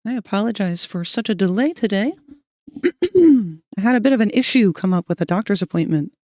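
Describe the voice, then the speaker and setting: A woman's voice: medium pitch, lively intonation, normal speed, a little mellow, no room sound, clean studio sound. A woman streamer chatting casually with her viewers while sketching, her voice a little scratchy, greeting people by name and laughing often. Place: cold room at home.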